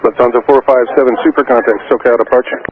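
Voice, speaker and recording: A man's voice: mid-range voice, steady tone, quick pace. A man working as an air traffic tower controller, giving clipped procedural instructions over aviation radio. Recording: narrow tinny radio transmission, faint noise.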